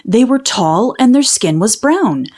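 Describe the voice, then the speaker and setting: A woman reading a children's book aloud as an expressive storyteller. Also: fairly high pitch, unhurried. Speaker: storybook reader. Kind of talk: children's read-aloud narration.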